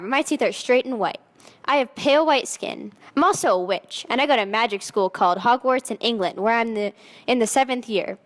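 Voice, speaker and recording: A woman's voice: a little high, lightly acted. A woman voicing a haughty, melodramatic seventeen-year-old goth vampire girl in a dramatic fan fiction reading, with emphatic teenage delivery. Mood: self-absorbed, dramatic. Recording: echoey hall with long reverb.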